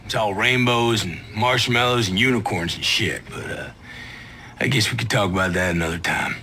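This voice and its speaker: An actor playing a breezy, cynical special-forces soldier in a video game, with halting, teasing talk. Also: male voice, lowish voice, pushed and bright, a little hiss or hum. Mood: wry, cynical. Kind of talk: naturalistic video game acting.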